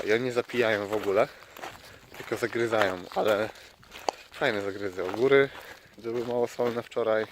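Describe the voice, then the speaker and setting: A man from Poland chatting casually and unscripted as a travel vlogger, outdoors. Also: medium pitch. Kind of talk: casual vlog chat, unscripted. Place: outdoors by a lake. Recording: noisy outdoor recording with clicks.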